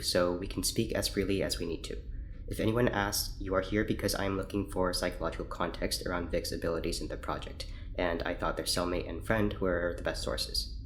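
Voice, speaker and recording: A man playing a guarded, formal scientist in an audio drama, speaking in a measured, secretive way. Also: deep voice, fairly even intonation, a bit bright, slight background noise. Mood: businesslike, serious.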